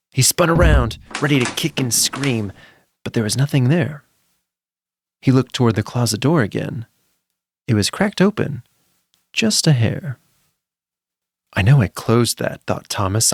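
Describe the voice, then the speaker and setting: A man's voice: lowish voice, dry recording, studio quality. A man narrating a spooky children's story from a script in a dramatic, suspenseful way.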